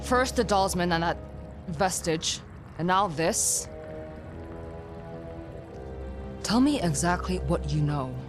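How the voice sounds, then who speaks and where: An actress playing a tough, guarded young warrior in a video game, blunt and impatient, with a dry, no-nonsense delivery. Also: female voice, lowish voice, expressive, unhurried. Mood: demanding. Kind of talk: cinematic video game acting. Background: background music.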